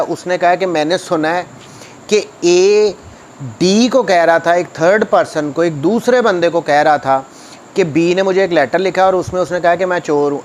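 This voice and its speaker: A man's voice: on the high side, a little hiss or hum. A man with a Pakistani accent giving an explanatory law lecture in a didactic way, repeating key points for emphasis. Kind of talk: explanatory law lecture, reading statutes and paraphrasing them.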